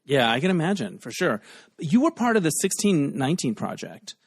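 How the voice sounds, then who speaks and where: A man's voice: a little high, big pitch swings, a little fast, slightly rough. A man hosting a podcast, interviewing a guest in a friendly, encouraging way. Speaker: podcast host. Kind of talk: casual interview.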